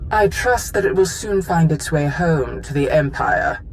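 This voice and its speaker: A woman's voice: low-pitched, lively intonation, unhurried, slight background noise, poor audio. A woman acting a haughty, demanding Imperial Sith official in a video game cutscene, with cold, formal phrasing. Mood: cool, condescending.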